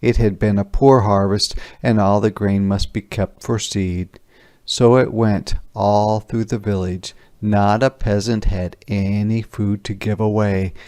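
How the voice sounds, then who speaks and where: A man narrating a children's story in a deliberate, clearly enunciated read-aloud. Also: on the low side, level tone, mellow, no room sound, clean studio sound. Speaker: storyteller reading a picture book aloud.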